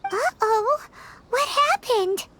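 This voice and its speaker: A tiny, excitable fairy sidekick from a video game. The voice is extremely high and squeaky, childlike and curious, and it swings up and down in unhurried phrases. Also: female voice, background noise, exaggerated voice. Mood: startled. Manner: speaks of herself in third person, exclaims.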